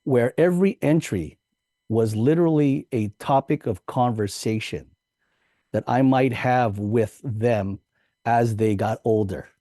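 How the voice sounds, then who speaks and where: A man's voice: medium pitch, unhurried, mellow, dry recording, studio quality. A man as a podcast guest, telling a personal story in an earnest, reflective way with light laughs.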